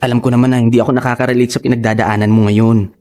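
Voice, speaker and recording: An actor playing a caring, teasing office friend in a radio drama, chatty and concerned. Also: male voice, medium pitch, level tone, racing, mellow, soft background music, close to an everyday voice. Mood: gentle concern. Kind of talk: Tagalog radio drama acting.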